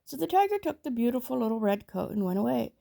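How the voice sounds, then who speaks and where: A woman reading a children's story aloud in a measured, expressive storytelling manner. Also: on the high side, gravelly, no room sound, clean studio sound. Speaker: storybook reader.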